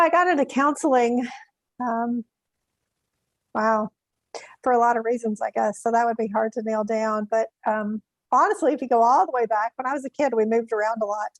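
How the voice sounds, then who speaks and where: A middle-aged woman with a Mississippi accent, a therapist answering interview questions thoughtfully with pauses. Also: a little high, no echo, rough recording. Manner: thoughtful, pauses to find words. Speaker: therapist guest.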